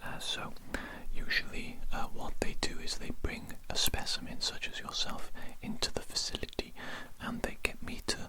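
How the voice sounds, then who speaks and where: Breathy whispery asmr roleplay voice, pompous fussy alien doctor, repeats little phrases, no real voicing. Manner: slow, repetitive, self-important, politely fussy.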